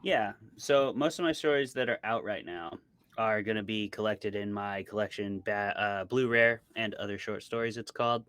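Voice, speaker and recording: A man's voice: fairly low pitch, moderate pace, a bit bright, clean tone, no room sound. A man being interviewed as an author, talking in a casual, explanatory and conversational way. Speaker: interviewed author, podcast co-host.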